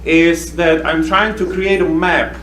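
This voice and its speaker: A man from the Czech Republic with a Czech accent, giving a conference talk as a researcher, speaking haltingly with many fillers and restarts. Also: fairly high pitch, flat delivery, a bit bright. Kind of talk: conference presentation. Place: conference hall. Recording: echoey room recorded from a distance with faint hum.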